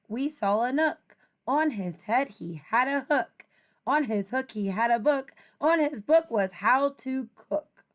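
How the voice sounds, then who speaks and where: A woman with a high voice reads a children's picture book aloud as a teacher would. Her delivery is slow and deliberate, with an expressive, singsong feel for the rhymes.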